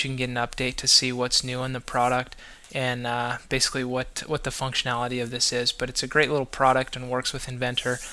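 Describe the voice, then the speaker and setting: A man's voice: mid-range voice, bright, forceful tone, smooth. A man hosting a podcast, talking casually and loosely, with many restarts and fillers. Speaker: podcast host.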